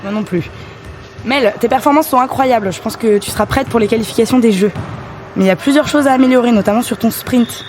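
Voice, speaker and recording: A woman's voice: on the high side, quick pace, darkish tone, slight background noise, lightly acted. A woman playing a determined, direct athletics coach in a French audio drama. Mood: brisk, encouraging.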